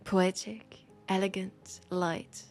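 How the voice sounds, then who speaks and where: Slow, whispery Frenchwoman acted as tender bookseller. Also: mid-range voice, level tone, a little mellow, natural voice. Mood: fond, playful. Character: romantic, teasing female bookseller fond of a vampire customer. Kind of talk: soft intimate roleplay audio with poetic reading. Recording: music behind the voice. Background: music.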